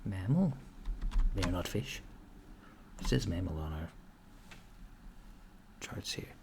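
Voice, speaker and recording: A man doing a soft-spoken ASMR roleplay as a curious, puzzled alien interviewer, speaking in a deliberate, halting, deadpan way. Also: deep voice. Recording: clear background noise, keyboard typing. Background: computer keyboard typing.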